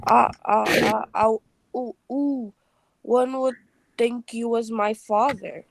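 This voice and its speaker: A woman's voice: a little high, steady tone, slow pace, gravelly, no echo. A woman playing a poor young flower girl in a play reading, indignant and whiny, with colloquial protests. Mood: wailing, offended. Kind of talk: reader's theatre play reading.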